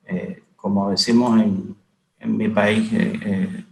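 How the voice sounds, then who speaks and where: A man from Cuba moderating a webinar panel, talking in a measured way with many hesitant "eh" fillers. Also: on the low side, little pitch movement, vocal fry, slight background noise. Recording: echoey room.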